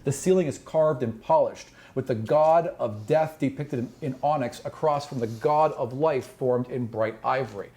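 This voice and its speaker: A man's voice: medium pitch, moderate pace, hardly any pauses. A man working as game master, narrating a tabletop fantasy game in a dramatic, descriptive way. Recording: loud music under the voice. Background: music.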